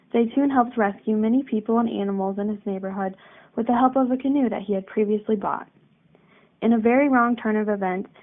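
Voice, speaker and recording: A narrow, phone-like recording of a woman narrating. She reads a scripted news story at an average pitch for a woman. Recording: muffled, phone-like narrow band.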